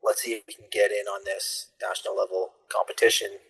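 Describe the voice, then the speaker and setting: Husky-voiced man explaining his rugby club. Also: sits low, level tone, fairly quick, fairly bright tone, poor audio. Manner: earnest, explains step by step with fillers. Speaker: rugby federation leader, guest. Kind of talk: casual interview.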